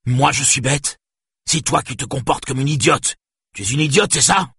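A man's voice: sits low, normal speed, husky, no echo, studio quality, heavily acted. A man voicing a harsh, exasperated father who scolds his young daughter in a stern, angry voice for an audiobook. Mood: furious yelling. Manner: stern, shouting when angered.

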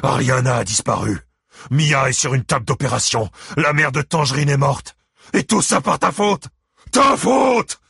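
A man's voice: sits low, moderate pace, gravelly, a bit bright, slightly rough, dry recording, studio quality, heavily acted. A man voicing a furious, bitter, vengeful father who spits out harsh accusations in an audiobook novel. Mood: raging.

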